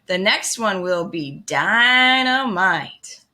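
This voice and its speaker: A woman's voice: mid-range voice, animated, drawn-out words, pushed and bright, full character voice. A woman voicing an easygoing young male cat artist from a children's storybook, with laid-back, slangy expressiveness. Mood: upbeat.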